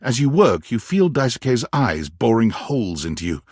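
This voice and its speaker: A man narrating a romantic comedy video game with measured, dramatic storytelling and deliberate pauses. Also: medium pitch, very animated, a little mellow, breathy edge, no echo, clean studio sound. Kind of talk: scripted second-person narration of a dating game.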